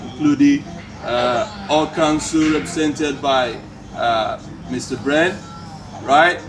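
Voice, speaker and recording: A man's voice: medium pitch, far from the mic. A man hosting a community gathering, speaking hesitantly with many fillers. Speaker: event host. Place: community hall event. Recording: loud music behind.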